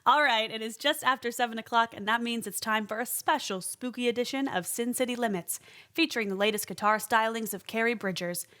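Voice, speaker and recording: A woman announcing on local public radio. Her voice sits a little high, and she reads scripted items in an informative, conversational manner. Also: brisk, pushed and bright.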